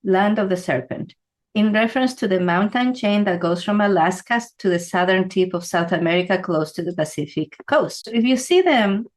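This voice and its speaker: A woman's voice: medium pitch, normal speed. Chatty woman teaching with frequent "right?". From Ecuador. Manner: conversational, asks "right?" often. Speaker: feng shui teacher. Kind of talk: explanatory talk to camera.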